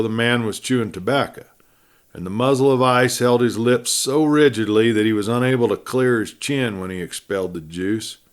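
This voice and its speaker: A man narrating a classic adventure story in a measured storytelling way, with pauses and phrases that fall away at the end. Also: mid-range voice. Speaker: audiobook narrator and host.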